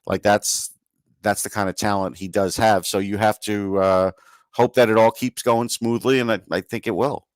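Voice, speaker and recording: Low-pitched man talking sports, casual and emphatic. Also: fairly even intonation, moderate pace, no echo, studio quality. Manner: conversational, emphatic, opinionated. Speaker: sports podcast co-host. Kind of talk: casual hockey analysis chat.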